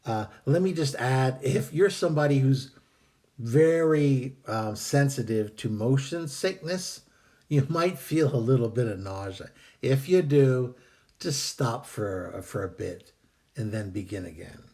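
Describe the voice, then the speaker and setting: A man hosting a video, calmly reading out and explaining a meditation technique with some hesitant fillers, while fighting a cold that is costing him his voice. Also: mid-range voice. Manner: calm, measured, with hesitant fillers. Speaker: host.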